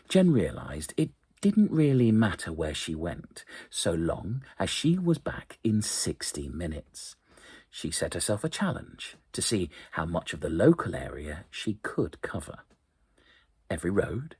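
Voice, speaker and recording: A man with a very low, strongly breathy and husky voice narrates an eerie tale. He takes his time, phrasing deliberately and leaving suspenseful pauses, while his intonation moves expressively up and down. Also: dry recording. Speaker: story reader, storyteller. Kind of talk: dramatic narration of an eerie short story.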